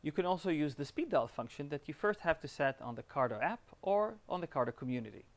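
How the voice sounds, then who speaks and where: A man's voice: a little high, lively intonation, quick pace, gravelly. A man with a slightly raised voice presents a scripted tutorial. He explains each step in an upbeat, instructional way.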